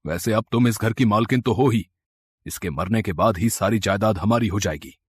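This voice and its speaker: A man acts a scheming uncle in a storybook tale. His voice is husky and lower than the women around him, coaxing and conspiratorial with greedy intent. The recording is dry. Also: normal speed, no room sound. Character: scheming murderous uncle, falsely kind, greedy. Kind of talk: moral-story acting.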